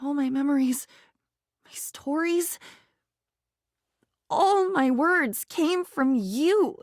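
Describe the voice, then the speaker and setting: A woman's voice: sits high, animated, slow pace, no echo, studio quality, full character voice. A woman voicing a melancholy living diary in a video game, needy and poetic, speaking in wistful, emotional fragments. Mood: indignant, regretful. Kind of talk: dramatic video game voice acting.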